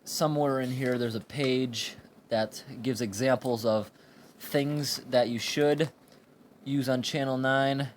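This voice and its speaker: A man giving an informal hands-on product review in a plain, explanatory way with halting phrases, recorded indoors on a handheld camera. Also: mid-range voice, fairly even intonation. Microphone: handheld camera or phone microphone. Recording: amateur recording with background room noise.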